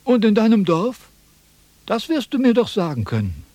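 Pompous high-voiced philosopher, sharing his wisdom. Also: wildly swooping pitch, normal speed, warm tone, dry recording, exaggerated voice. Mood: impatient, probing. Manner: pompous, lecturing. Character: pompous, self-important male Chinese sage, condescending and impatient. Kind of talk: theatrical radio drama dialogue.

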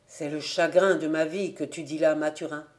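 A woman voicing a gentle, weary old farmer father who speaks softly and patiently, with sorrow, in a storybook. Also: low-pitched, fairly even intonation, moderate pace, natural voice. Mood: sorrowful.

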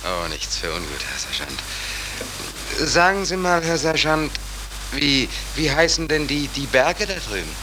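A man's voice: on the high side, very animated, unhurried. A man playing a hapless, naively polite drifting sailor in a 1940s German radio drama, in a rambling, deferential manner. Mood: naive, uneasy. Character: hapless, naively polite stateless sailor, wryly shrugging off trouble. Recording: noisy, hissy 1940s radio recording.

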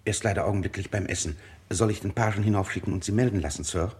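Man as discreet club porter in a vintage radio play, very low voice, formal, courteous, servile. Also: very fast, darkish tone, close to an everyday voice. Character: polite, discreet male porter of exclusive London club. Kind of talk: mid-century radio drama acting.

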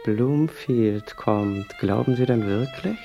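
Slow, creaky, meek little hawker. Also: male voice, medium pitch, dark tone, highs cut off, soft background music. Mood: anxious, curious. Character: meek, persistent small-time hawker of lottery tickets. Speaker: actor. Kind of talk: German radio drama acting.

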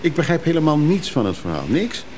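Blunt, world-weary man, widely swinging pitch. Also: big pitch swings, a little fast, a little mellow. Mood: scornful, irritated. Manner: curt, sardonic. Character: blunt, scornful, world-weary man, wistful about his daughter. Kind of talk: naturalistic Dutch radio drama acting. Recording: noisy radio drama recording with background ambience.

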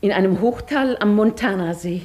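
A woman's voice: mid-range voice, normal speed, a little mellow, close to an everyday voice. An actress in a German radio play playing an ailing, wistful older woman of modest means, proudly reserved and hesitant. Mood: wistful, moved.

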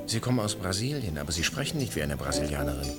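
A man's voice: rumbling low, very animated, quick pace, loud music behind the voice. An actor playing a cocky, suave, ironic male con man in a radio drama. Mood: smooth, ironic. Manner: suave, self-assured, ironic. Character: cocky, smooth male con man chasing big money. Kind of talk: 1980 German radio drama acting.

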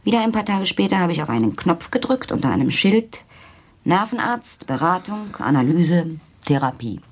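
An actress playing a disillusioned, talkative young kept woman in a German radio play, sardonic and confiding, with a husky voice. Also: on the low side, sing-song, moderate pace, exaggerated voice. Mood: bitter, confiding. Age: young adult.

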